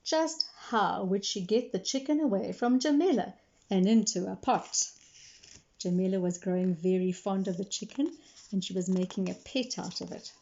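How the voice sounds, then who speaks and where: A woman storyteller reading aloud to young children in expressive narration, explaining things simply as she goes. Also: medium pitch, moderate pace, dull audio.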